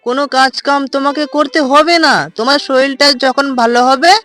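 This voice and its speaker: Young cartoon heroine, woman, high earnest voice, insistent, pleading, voiced by an actor. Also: fairly even intonation, a little fast, sharp tone, soft background music. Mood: scolding. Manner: earnest, pleading, somewhat shrill. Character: young unmarried village woman, dutiful chili seller. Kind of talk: cartoon voice acting.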